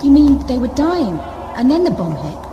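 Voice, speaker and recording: A woman's voice: high voice, sing-song, normal speed, dull audio, noisy background. A woman acting in an audio drama as a mild, polite junior member of a search party. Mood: polite, questioning. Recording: music under the dialogue.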